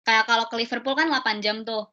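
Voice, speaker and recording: Young woman host, chatty with fillers, bright higher voice, dull video call audio. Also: fairly even intonation, fast. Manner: chatty, explaining with many fillers. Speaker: student event host. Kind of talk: casual chat.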